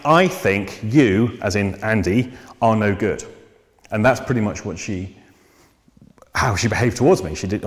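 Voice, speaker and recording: A man teaching about psychotherapy in a measured, thoughtful way, pausing often with ums and restarting his sentences. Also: lowish voice. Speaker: psychotherapy trainer. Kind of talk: unscripted lecture on therapy. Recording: long reverb.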